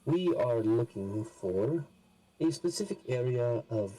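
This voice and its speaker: Man, husky voice, mellow tone, formal in-character speech, slow and measured. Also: mid-range voice, expressive, rough recording. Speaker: player. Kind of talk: in-character roleplay.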